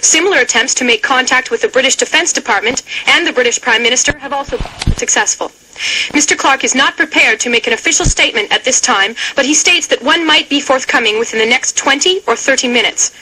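A woman's voice: high voice, fast talker, sharp tone, a little hiss or hum, low-quality recording. A woman playing an earnest radio reporter giving a formal, precise live report. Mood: earnest, urgent.

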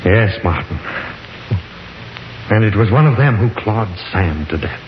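An actor playing a grave professor who reasons slowly and theatrically in a 1950s radio horror drama. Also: male voice, lowish voice, lively intonation. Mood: somber. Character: grave, reasoning male professor exploring dark caverns. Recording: hissy, dull 1950s radio recording, roomy.

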